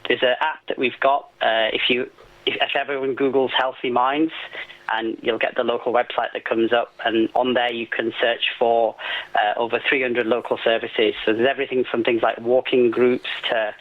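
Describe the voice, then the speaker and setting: Male medical guest for radio interview: measured, explanatory, little pitch movement, lo-fi telephone-style line. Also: little pitch movement. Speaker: GP and health campaign guest. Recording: muffled lo-fi phone-in sound.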